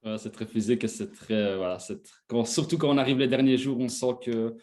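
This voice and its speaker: Male, 20s, mid-range voice. Relaxed conversational guest talking about himself. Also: medium pitch, a little fast. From Belgium. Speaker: interview guest. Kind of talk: casual chat, telling his own story.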